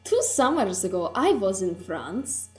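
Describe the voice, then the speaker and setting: A woman's voice: medium pitch, sing-song, unhurried, soft background music. A woman playing a fond tourist remembering a trip to France in a scripted puppet show. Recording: echoey room.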